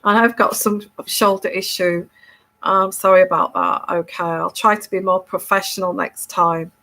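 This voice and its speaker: A woman's voice: fairly low pitch, steady tone, normal speed, gravelly, sharp tone. Congested woman chatting to camera. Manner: chatty, keeps tagging "okay?", many restarts. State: congested from a cold. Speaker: tarot reader hosting her channel. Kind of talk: casual solo video monologue.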